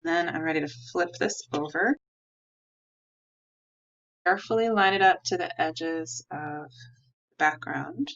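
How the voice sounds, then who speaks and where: Low-pitched, creaky woman teaching crafts. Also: slow pace, long pauses, a bit bright. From United States. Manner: simple, clear step-by-step phrasing. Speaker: art teacher. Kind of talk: step-by-step craft instruction and simple storytelling. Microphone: recording a tabletop demonstration under an overhead camera. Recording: slightly dull, lo-fi audio.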